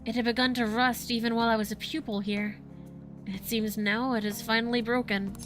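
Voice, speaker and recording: An actor playing a refined, earnest young male art student in a video game, speaking in formal, measured phrasing. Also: extremely high pitch, little pitch movement, music in the background. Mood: solemn.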